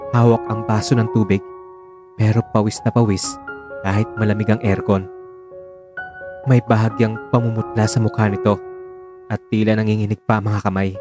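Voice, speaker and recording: A man narrating a scripted dramatic love story in a measured, solemn storytelling manner. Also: medium pitch.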